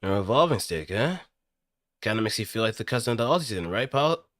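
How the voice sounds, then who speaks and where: A man's voice: on the low side, big pitch swings, a little fast, a bit bright, no room sound, clean studio sound. A man voicing a cocky, fired-up mecha pilot delivering theatrical, punchy battle lines in anime robot game style. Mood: confident, teasing.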